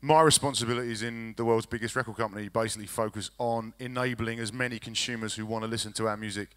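A man in his forties, a record label executive, answering at a conference panel on a handheld mic, measured with many fillers and restarts. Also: fairly low pitch, fairly even intonation, a bit bright. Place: large conference hall stage.

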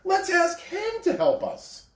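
A man's voice: extremely high pitch, huge pitch swings, slow pace, voice pushed up, full character voice. A man voicing an eager little storybook toy clown, imploring for help. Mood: excited. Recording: roomy, distant phone camera recording.

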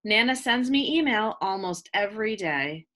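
A woman reading a children's picture book aloud like a teacher, with expressive delivery aimed at young listeners. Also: mid-range voice, moderate pace, a little hiss or hum. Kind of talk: children's read-aloud, scripted narration.

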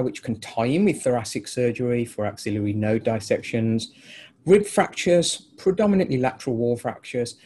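A middle-aged man with a British accent giving a clear, steady medical teaching webinar over a video call. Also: medium pitch, a little hiss or hum. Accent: British English. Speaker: webinar presenter. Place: indoor office with a logo backdrop.

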